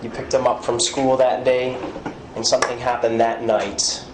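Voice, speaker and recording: An American man questioning a suspect as a police interrogator, with procedural, halting questions. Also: mid-range voice, flat delivery. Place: indoor police interview room. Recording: echoey, noisy interrogation room audio.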